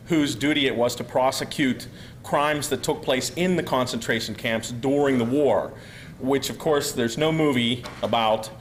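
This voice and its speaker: A man from Pennsylvania giving a talk to a live audience, loud and rambling, full of fillers and restarts. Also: mid-range voice, slight background noise. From Pennsylvania, USA. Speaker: guest speaker.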